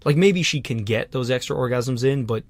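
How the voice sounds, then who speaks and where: A man with a mid-pitched voice co-hosts a podcast in a relaxed way. He swears casually and chimes in often with agreement. The recording is dry and close-miked. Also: normal speed, no room sound. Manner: laid-back, swears casually, agreeing interjections. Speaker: podcast co-host. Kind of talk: casual chat.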